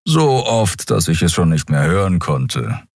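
A man voicing a taciturn male Skria creature who grumbles in a low, growling voice, curt and deadpan. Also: measured pace, no room sound, studio quality, full character voice.

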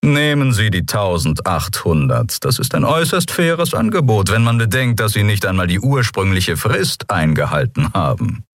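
A man's voice: lowish voice, sing-song, normal speed, few pauses, no room sound, clean studio sound, pitched above the performer's own voice. A man voicing a smug, condescending swindler of a businessman in an audiobook. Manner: self-satisfied, condescending. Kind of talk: audiobook character voice.

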